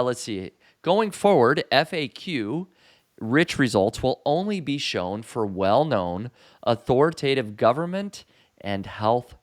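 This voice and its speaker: A middle-aged man hosts a podcast in a relaxed, easygoing way, often pausing on "uh" and "um". His voice sits in an ordinary middle pitch, close on a studio boom mic. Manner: relaxed, with frequent fillers. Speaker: podcast host. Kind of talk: casual podcast chat.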